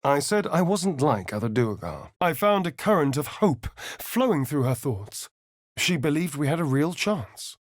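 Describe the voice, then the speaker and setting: Casting: a suave, sardonic male vampire rogue for a fantasy game. Arch and dramatic, very lively pitch, dry close sound. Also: no room sound. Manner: theatrical, arch, dramatic delivery. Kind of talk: fantasy video game voice acting.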